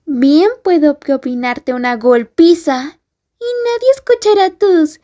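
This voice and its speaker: Woman acting schoolgirl bully, ASMR drama, high-pitched, unhurried, mocking, threatening, taunting. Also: animated, dark tone. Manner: taunting, drawn-out.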